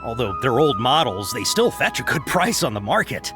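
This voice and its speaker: A man's voice: mid-range voice, very animated, normal speed, music in the background, natural voice. A man playing a worried, apologetic caravan merchant in a game, explaining himself hesitantly. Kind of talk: English game dub dialogue.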